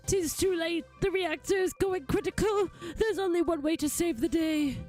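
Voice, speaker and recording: Video game clone inspector, played by a woman: high-pitched, heroic, theatrical, self-sacrificing and grandiose. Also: normal speed, darkish tone, faint music behind.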